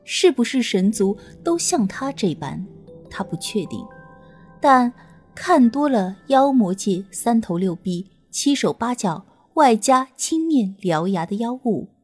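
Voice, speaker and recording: A woman reading a novel as an audiobook narrator, in an expressive scripted storytelling style. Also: mid-range voice, slow, husky, soft background music.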